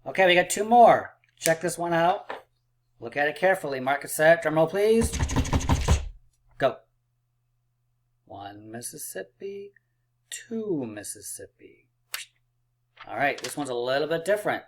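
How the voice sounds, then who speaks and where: A man's voice: on the high side, lively intonation, a little slow, long pauses. Upbeat man teaching kids math. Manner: upbeat and encouraging, playful drum rolls and counting aloud. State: losing his voice, clearing throat. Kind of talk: math lesson video for kids.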